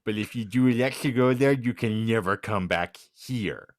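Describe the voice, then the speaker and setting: A man hosting a comedy podcast, reading out scenarios and bantering in a deadpan manner. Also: on the low side, moderate pace. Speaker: podcast host.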